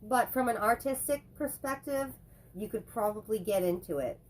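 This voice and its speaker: A woman's voice: on the high side, a little hiss or hum, low-quality recording. Chatty woman with a head cold. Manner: rambling, chatty, quick to joke. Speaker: co-host. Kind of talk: casual chat reviewing movies.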